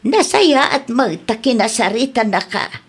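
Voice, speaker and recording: A woman's voice: fairly high pitch, expressive, measured pace, breathy edge. A woman with a Philippine accent playing an admonishing, motherly older village aunt who preaches in a comedy radio drama. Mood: concerned, advising.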